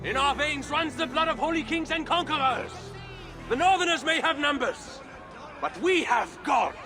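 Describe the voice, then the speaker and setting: An actor playing a proud, zealous count in a video game, loudly declaiming a rallying speech. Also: male voice, extremely high pitch, animated, normal speed, over music, a crowd in the background. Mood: fervent. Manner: declamatory, loud. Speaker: orator.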